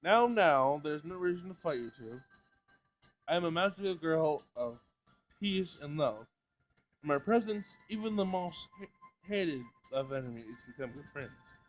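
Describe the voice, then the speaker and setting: A man's voice: fairly high pitch, lively intonation, unhurried, poor audio. A man voicing a magical girl who preaches peace and love in a cutesy, theatrical, bossy way. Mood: sweetly cheerful. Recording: music behind the voice.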